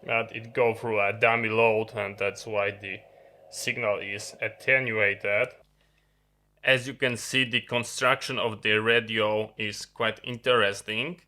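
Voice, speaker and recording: A man who is a non-native English speaker, giving a halting, unscripted hands-on explanation of a piece of gear as a video host. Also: on the low side, fairly even intonation, sharp tone, a little hiss or hum. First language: not English. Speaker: host of an electronics review channel. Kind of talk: unscripted hands-on product demonstration. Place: indoor electronics workbench.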